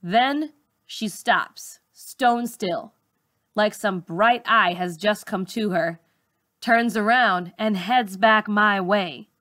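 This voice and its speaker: Bright-voiced woman reading a story slowly. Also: medium pitch. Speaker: teacher reading aloud. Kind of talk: expressive first-person audiobook narration.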